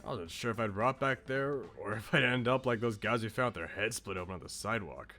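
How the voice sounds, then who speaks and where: A man's voice: lowish voice, brisk, pushed and bright, faint music behind. A man voicing a brash, insecure but loyal eighteen-year-old slacker in a visual novel, with blunt, casual slang. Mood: reflective. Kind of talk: visual novel character read aloud.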